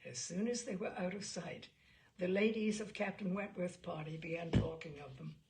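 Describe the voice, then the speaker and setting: A woman reading a classic novel aloud as an audiobook, in a measured, expressive storytelling manner with a creaky voice. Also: lowish voice. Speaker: audiobook reader. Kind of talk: literary narration of a classic novel, voicing dialogue.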